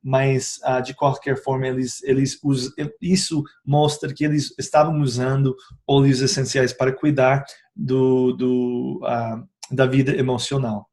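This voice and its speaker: A man's voice: medium pitch, level tone, measured pace, a little mellow. A man who is not a native speaker of the language gives a casual explanatory talk about essential oils, hesitating often and correcting himself. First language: not Portuguese. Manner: hesitant, frequent fillers and self-corrections.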